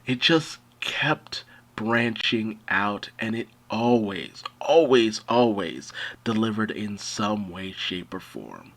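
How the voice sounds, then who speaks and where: Gushing man with a husky, unhurried voice. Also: fairly low pitch, expressive, a bit bright. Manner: gushing, emphatic, theatrical emphasis. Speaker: video essay host and animation fan. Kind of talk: enthusiastic retrospective commentary.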